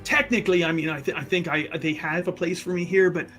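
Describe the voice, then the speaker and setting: A man voicing a roguish, thrill-seeking occultist in a tabletop game, rambling, excitable and conspiratorial. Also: high-pitched, fast talker, soft background music. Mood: excited, reckless.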